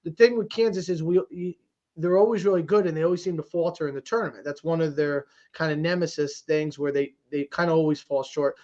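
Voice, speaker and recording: A man's voice: a little high, steady tone, a little fast, a little mellow. A man co-hosting a sports podcast, giving casual, emphatic commentary with exclamations and repeats for emphasis, in dull lo-fi audio.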